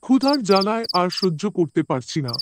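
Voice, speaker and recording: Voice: medium pitch, sing-song, brisk, heavy background noise, close to an everyday voice. A performer voicing an obedient but careless young schoolboy son, simple and earnest, in an Islamic moral cartoon. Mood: worried, reluctant.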